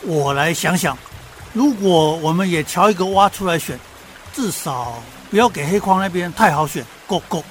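High, hesitant elder frog boss. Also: male voice, expressive, moderate pace, a little hiss or hum. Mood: reluctant. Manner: hemming and hawing, croaking verbal tic. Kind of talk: radio drama acting.

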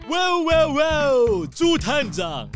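Loud rich seal boss, hammy, laughs a lot, takes his time, music under him. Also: sits high, sing-song, measured pace. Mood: boastful. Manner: hammy, laughs a lot, drops English words. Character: boisterous rich seal cruise tycoon.

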